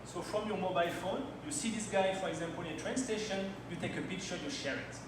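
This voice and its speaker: A man's voice: high-pitched, fast. A man in his thirties from France, speaking English as a second language and telling personal stories in a timed slide talk at a launch party. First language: French. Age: 30s. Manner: storytelling, personal anecdotes, non-native phrasing. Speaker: conference speaker. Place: event hall at a launch party. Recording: very echoey hall, distant mic, noisy.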